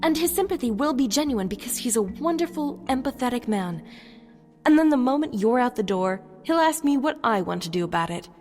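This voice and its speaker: A brisk, stern female official played by an actress with a fairly high voice. She speaks with formal, firm authority in an audio drama, faint music underneath. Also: expressive, darkish tone, lightly acted. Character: brisk, stern, overworked female deputy mayor. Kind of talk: fan-made audio drama.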